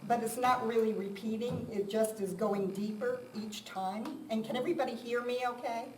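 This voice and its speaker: A woman's voice: on the high side, fairly even intonation, moderate pace. A woman giving an informal, conversational talk to a live audience, with a self-deprecating manner. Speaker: guest speaker. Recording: roomy, distant mic, loud background noise.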